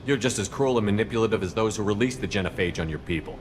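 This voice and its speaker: An actor playing a steady human military commander in a video game, direct and plain-spoken. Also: male voice, on the low side, racing, slight background noise, lightly acted. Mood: firm, composed. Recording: music behind.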